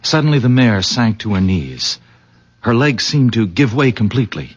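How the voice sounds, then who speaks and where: An actor playing a polite, excitable village horse owner in a radio drama, husky and halting in his courteous, earnest talk. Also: mid-range voice. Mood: awed, earnest. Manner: hesitant, halting, courteous, effusive. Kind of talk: 1970s radio drama acting. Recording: dull old radio recording.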